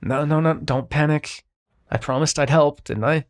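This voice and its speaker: A man playing a grumpy but reluctantly kind immortal dream-bringer in an ASMR roleplay, brusque and halting. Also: on the high side, lightly acted. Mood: brusque, caring. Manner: brusque, exasperated, halting, softening into concern.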